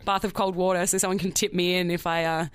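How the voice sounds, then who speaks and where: A woman's voice: on the low side, flat delivery, quick pace, clear, resonant tone, no echo. A woman co-hosting a podcast in casual comedic chat, playful and teasing as she riffs on tangents. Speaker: podcast co-host.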